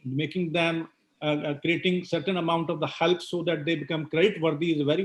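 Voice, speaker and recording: A man moderating a panel, asking a long question with many "you know" and "uh" fillers. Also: on the high side.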